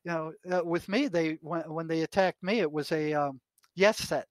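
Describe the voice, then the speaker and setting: An older American man in conversation, telling anecdotes and reading aloud from notes in a halting way, often repeating his words. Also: fairly high pitch, no echo. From United States. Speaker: retired psychotherapist, podcast guest.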